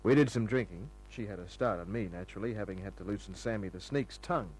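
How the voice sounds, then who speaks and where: An actor playing a cocky, wisecracking private detective who narrates his own case in a dry, smooth-talking way, in an old-time radio drama. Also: lowish voice, fast talker, a little mellow, a little hiss or hum, lightly acted, poor audio. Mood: wry narrating. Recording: old radio recording with music.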